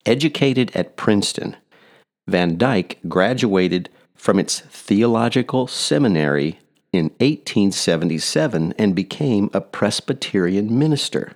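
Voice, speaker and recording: Slow, low-voiced man hosting a podcast. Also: animated. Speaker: podcast host. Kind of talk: scripted podcast narration.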